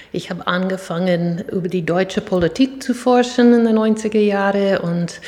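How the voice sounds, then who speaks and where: A woman's voice: lowish voice, darkish tone. A middle-aged woman with an American accent, a native English speaker, answering spontaneously as an academic interview guest. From USA, New York. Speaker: interviewee, professor and committee chair. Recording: reverberant hall.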